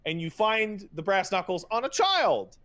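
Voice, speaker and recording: Male podcast host, fairly high voice. Emphatic, sweary, conversational rant with repeated words for stress. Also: big pitch swings, fairly bright tone. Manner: emphatic, profane, halting with repetitions and mocking paraphrases. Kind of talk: casual ranting commentary about video games.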